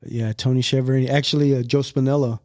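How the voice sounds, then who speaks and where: A man's voice: medium pitch, flat delivery, quick pace, darkish tone, a little hiss or hum. A middle-aged man hosting a podcast, chatty and easygoing as he prompts memories into a close mic. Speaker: podcast host. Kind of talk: casual chat.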